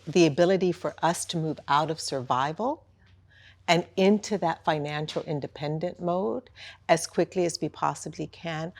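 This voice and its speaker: A woman on a discussion panel, speaking in measured, deliberate phrases with slow emphasis. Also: low voice. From first-generation business owner.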